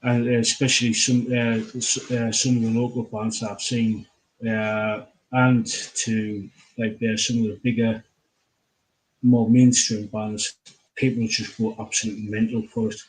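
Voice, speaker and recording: Man, little pitch movement, halting and repetitive, casual interviewer. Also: little pitch movement, rough recording. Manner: halting, repeats phrases, filler-heavy. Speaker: interviewer, host.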